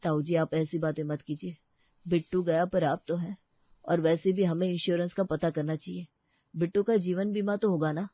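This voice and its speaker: A woman voicing excitable, sentimental male relatives with melodramatic delivery in a comic family story. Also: lowish voice, normal speed, dry recording, muffled recording, lightly acted. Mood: concerned.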